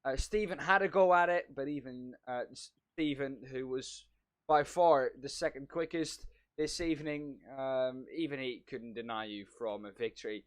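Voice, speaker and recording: A man's voice: medium pitch, moderate pace, clean tone. A man co-commentating a sim race in an upbeat way, thanking drivers and wrapping up the broadcast. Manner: upbeat, easy laughs, wraps up the show.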